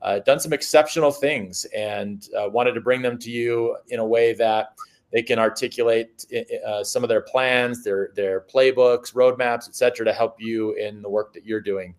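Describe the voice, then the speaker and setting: A man's voice: mid-range voice, fairly even intonation. Man hosting a business webinar. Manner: halting, many fillers and restarts. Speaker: webinar host. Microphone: video call.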